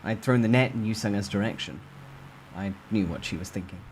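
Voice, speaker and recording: Guy with lowish voice doing dramatic storytime narration, first person, faint ambience in the back. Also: brisk, a little hiss or hum, rough recording.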